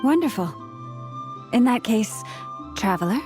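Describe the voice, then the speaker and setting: A woman's voice: on the high side, very animated, measured pace. A woman voicing a serene, kindly, dignified priestess in a video game, speaking formally and with composure. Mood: serene, pleased. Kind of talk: scripted video game acting. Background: music.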